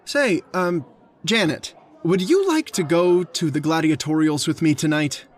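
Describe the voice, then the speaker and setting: A man's voice: on the high side, lively intonation, measured pace. A man acting in an old time radio drama as an earnest, talkative suitor with a hesitant, stammering delivery. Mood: chatty, casual. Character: talkative, earnest male suitor, a lovestruck hunter.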